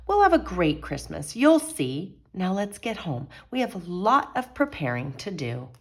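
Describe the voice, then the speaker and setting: A reassuring mother from a Christmas storybook, played by a woman reading aloud. She speaks gently, in a tender and motherly way. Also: fairly low pitch, big pitch swings, measured pace, heavily acted. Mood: encouraging.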